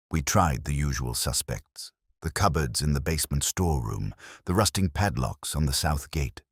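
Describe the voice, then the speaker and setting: A man with a gravelly, husky voice reads a scripted first-person detective story in a measured, brooding, literary way. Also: a bass voice, no echo, studio quality.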